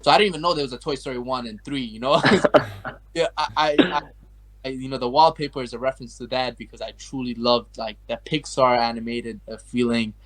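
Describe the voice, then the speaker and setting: A young man from Afghanistan talks at a quick clip in a mid-range voice. He rambles through his story as an interview guest, stringing thoughts together with constant fillers. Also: medium pitch, fairly even intonation, fairly bright tone. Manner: rambling, heavy use of like and you know. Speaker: guest artist. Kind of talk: casual interview chat.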